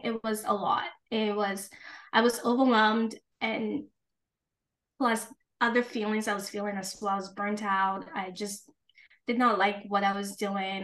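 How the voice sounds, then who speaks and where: A woman in her twenties co-hosting a podcast, talking candidly in a relaxed chat and laughing often. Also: mid-range voice. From Ghana and the United States. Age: 20s. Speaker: podcast co-host. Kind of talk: casual chat.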